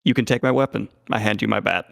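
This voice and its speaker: Gravelly low guy, put-on chicago accent, menacing in character. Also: fairly even intonation, quick pace, a bit bright. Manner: low, threatening. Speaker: player. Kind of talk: in-character role-play.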